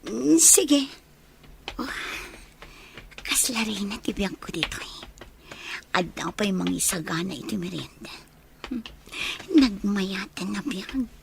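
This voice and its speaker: An actress playing an impressed, covetous young woman visitor in an Ilocano radio comedy drama, often slipping into breathy asides. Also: mid-range voice, expressive, slow pace, pauses often, slight background noise, heavily acted. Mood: sweet, agreeable.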